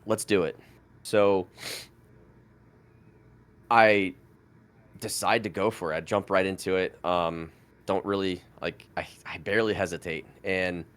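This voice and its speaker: A man's voice: on the low side, a bit bright. A man in his thirties, born in France with French as his first language, telling his story as a podcast guest in a rambling way full of fillers and restarts. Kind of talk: casual storytelling interview.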